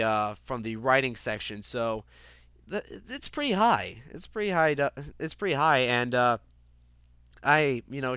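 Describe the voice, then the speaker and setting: A man's voice: medium pitch, pushed and bright, clear, resonant tone, no echo, clean studio sound. A young man hosting his own podcast, talking casually with lots of fillers and restarts, congested from a cold. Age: young adult. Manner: rambling, many fillers and restarts. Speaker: podcast host. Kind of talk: casual solo podcast monologue.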